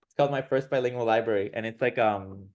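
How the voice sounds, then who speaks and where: A man's voice: fairly low pitch, quick pace, a little mellow, highs cut off. A man guest on a podcast, talking casually and enthusiastically, with many fillers. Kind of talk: casual interview chat.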